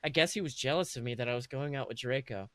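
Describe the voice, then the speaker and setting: A man's voice: medium pitch, fast talker, no echo. A man hosting a podcast, reading fan fiction aloud in an amused, theatrical, mocking way. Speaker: podcast host.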